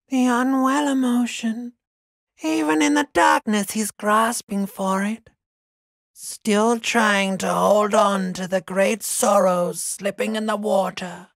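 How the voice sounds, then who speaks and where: A man voicing a sinister, sneering primal brain in a video game, with a raspy, hissing half-whisper and drawn-out theatrical delivery. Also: sits high, no room sound, studio quality, long reverb tail, full character voice. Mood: anguished, ominous. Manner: slow, theatrical, drawn-out, swelling from whispers to cries. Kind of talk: video game voice acting, menacing monologue.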